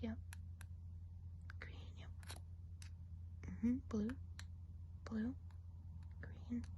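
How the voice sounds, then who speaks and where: A woman playing a chatty, gossipy woodland witch in an ASMR role play, speaking in a soft, breathy whisper and rambling with fillers. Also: a little high, poor audio. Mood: coaxing. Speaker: eye examiner.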